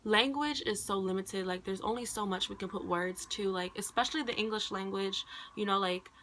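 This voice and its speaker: A woman's voice: medium pitch, a little fast, noisy background. A young woman, a college student whose first language is Khmer, rambling casually and thoughtfully to camera with many "like"s and "um"s. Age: young adult. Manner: rambling, heavy on "like" and "um".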